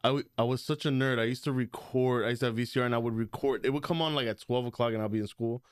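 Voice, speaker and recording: A man's voice: mid-range voice, fairly even intonation, rapid-fire, clear, resonant tone, dry recording, studio quality. A man in his thirties, a DJ guest on a podcast, telling stories in a laid-back way, swearing freely. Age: 30s. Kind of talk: casual chat.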